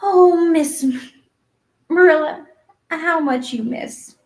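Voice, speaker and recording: An earnest, dreamy orphan girl from a storybook, played by a woman. Her voice is high, and she speaks in breathless, emphatic gushes, imploring and excitable. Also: moderate pace, natural voice. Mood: wide-eyed wonder. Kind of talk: acted audiobook dialogue.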